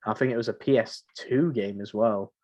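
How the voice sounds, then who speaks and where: A man's voice: lowish voice, moderate pace, dark tone, muffled recording. A man co-hosting a podcast in casual, conversational chat about games. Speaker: podcast co-host.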